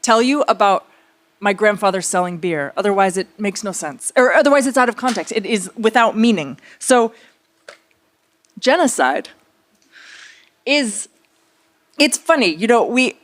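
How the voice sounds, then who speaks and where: A woman speaking conversationally as an artist at a public talk, telling stories in a reflective, searching way, pausing and circling back. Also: medium pitch, expressive. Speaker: theater artist speaking on a panel.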